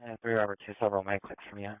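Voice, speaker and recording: A man's voice: lowish voice, level tone, very fast, clear, resonant tone, no room sound. A man working as a police dispatcher, giving procedural messages over a two-way radio. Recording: tinny narrow police scanner radio.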